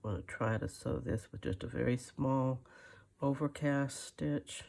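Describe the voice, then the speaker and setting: An older woman with a gravelly, creaky voice talks through a craft at a very slow pace. She rambles in a folksy way, often muttering to herself as she works. The tone is rough and husky, in the style of a casual tutorial. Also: extremely low pitch, a little mellow. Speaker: craft video host. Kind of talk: casual hand-stitching tutorial, thinking aloud.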